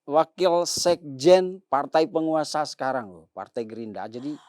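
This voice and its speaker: A man's voice: on the high side, animated, a little slow, darkish tone, no echo. A man hosting a casual interview, teasing and joking with his guest. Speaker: podcast host.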